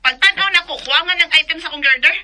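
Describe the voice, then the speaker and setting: A woman's voice: extremely high pitch, very fast, loud music behind the voice. An actress playing an angry young woman customer who scolds and threatens a delivery rider over a phone call. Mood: furious.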